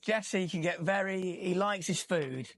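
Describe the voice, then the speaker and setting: A man's voice: sits high, unhurried, fairly bright tone, no room sound. Chatty English man telling stories. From England. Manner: chatty storyteller, swears, acts out quoted dialogue. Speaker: musician guest. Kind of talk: casual interview chat.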